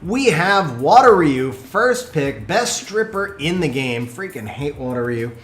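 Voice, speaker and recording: A man giving lively live commentary on game replays and chatting with viewers, his voice getting worn from a long stream. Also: on the high side, roomy sound, faint music behind. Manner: animated, quick play-by-play, bursts of excitement.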